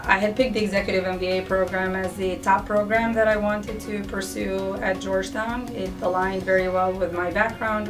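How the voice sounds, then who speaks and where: A woman, a finance officer telling her story in a measured, reflective way in an interview. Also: fairly low pitch. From Albania. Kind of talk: recorded interview. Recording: distant mic with background music.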